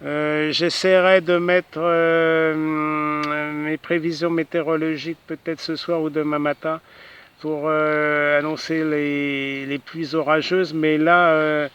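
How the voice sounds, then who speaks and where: A man's voice: on the high side, flat delivery, takes it slowly, a bit bright, clean tone. A man talking casually as an amateur radio operator, hesitating and often ending phrases with little tag words. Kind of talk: casual ham radio contact chat.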